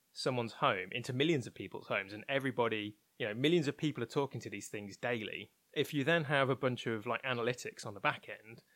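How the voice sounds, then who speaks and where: A man's voice: medium pitch, fairly bright tone, dry recording. Man, informal and candid, close dry sound. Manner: informal, candid, thinking aloud. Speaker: interview guest, technology researcher at a broadcaster. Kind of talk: conversational interview, explaining opinions.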